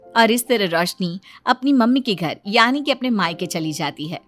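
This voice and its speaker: A woman's voice: mid-range voice, expressive, normal speed, fairly bright tone, faint music behind. A woman reading scripted narration for a family story.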